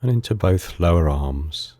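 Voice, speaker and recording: A man leading a guided meditation in calm, unhurried, soothing short phrases with pauses between them. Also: low voice, no echo, studio quality. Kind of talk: guided body scan meditation.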